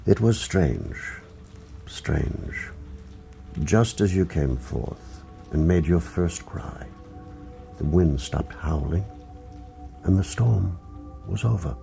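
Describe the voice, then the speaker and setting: An older man playing a gentle, solemn grandfather who tenderly tells his grandson a story in a dramatic storybook read-aloud. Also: deep voice, expressive, slow, vocal fry, pauses often, exaggerated voice. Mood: hushed, wondering. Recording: music under the voice.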